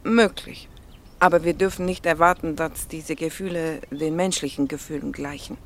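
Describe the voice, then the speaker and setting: An actress playing a gentle, earnest young female biologist with a Slovak accent, speaking softly and coaxingly in a radio drama. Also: deep voice, fairly even intonation, moderate pace, a little hiss or hum. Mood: tender, curious. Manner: soft, coaxing, breaks into whispers. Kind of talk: naturalistic radio drama dialogue.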